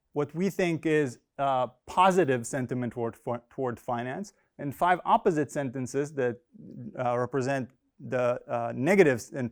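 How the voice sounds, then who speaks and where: A man, a native Hebrew speaker, presenting academic research in a casual, hesitant way with many fillers and dry humor. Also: a little high. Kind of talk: academic talk with slides.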